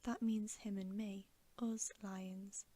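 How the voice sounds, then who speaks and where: A woman's voice: medium pitch, flat delivery, slow, mellow. A woman voicing an eager, simple-hearted, proud male lion who gushes and repeats himself, in a whispered storybook reading. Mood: thrilled, proud.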